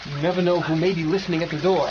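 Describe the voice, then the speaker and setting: A man's voice: a little high, steady tone, rapid-fire, natural voice. An actor playing a streetwise tough guy with an American accent, clipped, slangy and matter-of-fact, in a radio drama. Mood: conspiratorial, terse. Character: terse, streetwise tough guy warning of gangsters. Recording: muffled radio recording with clear background noise.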